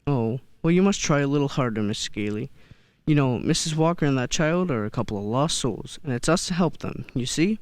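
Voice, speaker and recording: An actor playing a kindly old Santa figure in a radio play, gentle and hesitant, often repeating words. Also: mid-range voice, lively intonation, a little fast, no room sound, lightly acted. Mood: genial. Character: kindly old man who believes he is Santa. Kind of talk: stage-style radio play acting.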